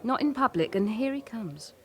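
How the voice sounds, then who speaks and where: Actress as detective's girlfriend, teasing, wry, rather high pitch, expressive swings, radio crime drama. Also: moderate pace, lightly acted. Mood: wry, chiding. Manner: playful, wry, gently chiding.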